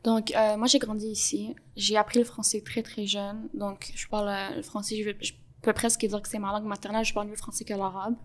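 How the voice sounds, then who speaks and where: A young adult woman from Montreal with a Quebec French accent, answering interview questions in an earnest, explanatory way with frequent pauses. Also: medium pitch, little pitch movement. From Montreal, Canada. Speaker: podcast guest.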